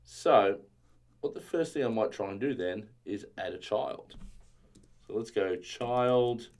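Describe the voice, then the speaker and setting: Low-voiced man live-coding, slightly strained voice. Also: slow, a little mellow. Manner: muttering while reading documentation aloud. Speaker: live-stream coding tutor. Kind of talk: casual live-stream tutorial, thinking aloud.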